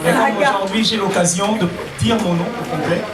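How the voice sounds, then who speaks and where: A man's voice: high voice, fairly even intonation, a little fast. A man in his thirties giving a reflective spoken word speech, building rhetorically through repeated phrases. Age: 30s. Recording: echoey venue, distant, loud guitar music behind. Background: loud guitar music.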